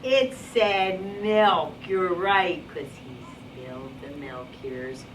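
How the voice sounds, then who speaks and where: A woman's voice: lowish voice, slow pace. A woman teacher reading a picture book aloud to young children in a classroom, encouraging and instructive as she talks to them. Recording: distant mic, very noisy classroom.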